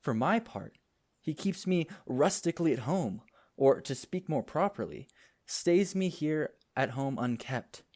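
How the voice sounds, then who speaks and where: A man's voice: on the high side, animated, measured pace, darkish tone. Man acting an aggrieved, defiant young nobleman. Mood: brooding, aggrieved. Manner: declamatory, impassioned. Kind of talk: Shakespearean radio play acting.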